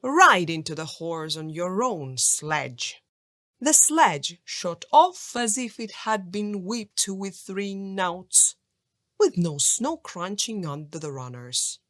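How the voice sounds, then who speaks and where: A woman narrating a children's folk tale audiobook in an expressive, scripted storytelling style. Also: mid-range voice, dry recording, studio quality.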